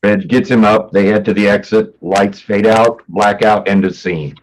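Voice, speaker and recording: A man's voice: on the low side, steady tone, moderate pace, slight background noise, poor audio. A man narrating stage directions for a play, reading from a script in the present tense.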